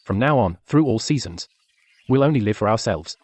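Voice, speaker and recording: An actor playing a devoted, calm and protective grown son in a dubbed melodrama. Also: male voice, lowish voice, normal speed, no echo. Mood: reassuring.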